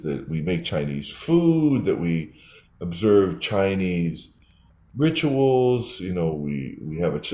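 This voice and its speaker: Animated older man, echoey room. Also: low voice. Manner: thoughtful, explanatory, with restarts. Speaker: guest, developmental psychologist. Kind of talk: interview answer. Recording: roomy echo.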